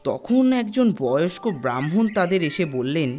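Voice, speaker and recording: A man's voice: a little high, big pitch swings, moderate pace, a little mellow, faint music behind. A man narrating a scripted ghost story for an animation, as a storyteller.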